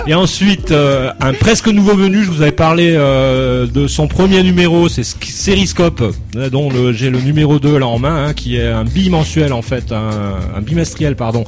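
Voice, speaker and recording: Male radio columnist, mid pitch, rambling with many fillers, clear music underneath. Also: fairly quick, hardly any pauses, a little mellow. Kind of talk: casual radio chat.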